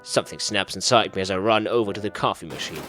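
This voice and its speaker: A man's voice: lowish voice, quick pace, fairly bright tone, faint music behind. A man giving a casual playthrough commentary, reading game text aloud and muttering to himself in repeated phrases. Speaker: gaming video host.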